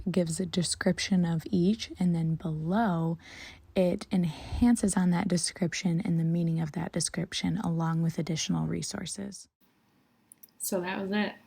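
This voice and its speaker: Casual woman reviewer, Midwestern American accent, lowish raspy-edged voice, talking straight to viewers. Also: warm tone. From Michigan, USA. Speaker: product reviewer.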